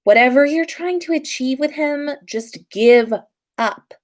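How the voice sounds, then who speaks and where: Woman as a haughty, demanding teenage witch, high-pitched. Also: unhurried. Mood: exasperated. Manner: demanding, snappish. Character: haughty, jealous teenage pure-blood witch schoolgirl. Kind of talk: storybook character voice.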